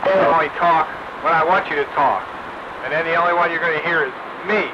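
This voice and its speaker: A man's voice: on the high side, quick pace. A man bantering casually over two-way radio, his voice muffled and wrapped in static. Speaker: radio operator on a group radio net. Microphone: two-way radio microphone. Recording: muffled band-limited radio signal with static.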